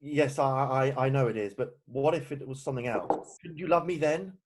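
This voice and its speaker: A man playing a nervous, ardent young gentleman suitor in an amateur play read-through, hesitant and stammering, over a video call. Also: medium pitch, normal speed, natural voice. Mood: anxious, hesitant.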